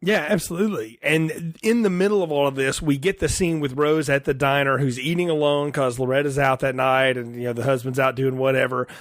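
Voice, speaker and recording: A man's voice: medium pitch, steady tone, brisk, hardly any pauses, dry recording, studio quality. A middle-aged American man co-hosting a casual film review podcast in a conversational way. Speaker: podcast co-host.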